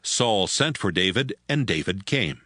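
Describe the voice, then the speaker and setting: A man's voice: on the low side, expressive, a little slow, pushed and bright, no room sound, studio quality. A man narrating a dramatized audiobook as a scripted storyteller. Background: music.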